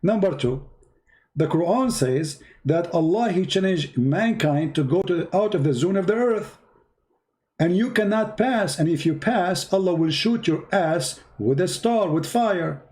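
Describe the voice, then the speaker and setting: Man, Arabic first language, high voice, combative, sneering, repeats his demands. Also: lively intonation, measured pace, a little mellow. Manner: insulting, mocking, combative; hammers the same question over and over. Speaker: debate host. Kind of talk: heated religious debate.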